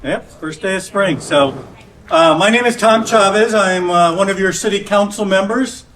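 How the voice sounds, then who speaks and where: Casual city official, distant in a hall. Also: male voice, sits high, normal speed, a bit bright. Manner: casual, with many fillers and restarts. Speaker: city council member. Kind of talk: welcoming remarks at a community meeting. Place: church hall meeting. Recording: distant mic in a room with faint noise.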